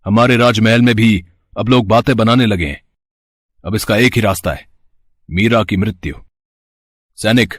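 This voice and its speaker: Man acting scheming royal villain, stern, indignant, lowish pitch, dry recording, storybook drama. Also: no echo, lightly acted. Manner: commanding, indignant. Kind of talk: acted devotional storybook drama.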